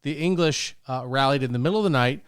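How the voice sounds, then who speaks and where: A man's voice: mid-range voice, fast talker, smooth, dry recording, clean studio sound. A man telling stories confidently and profanely in casual talk show banter.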